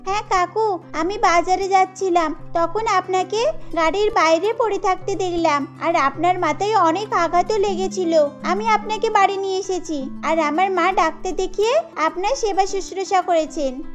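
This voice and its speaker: Cartoon role: woman voicing a sincere poor little girl, very high voice, soft music behind. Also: normal speed. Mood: earnest. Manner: earnest, pleading. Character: poor young girl, earnest and kind. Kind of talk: Bengali cartoon dubbing.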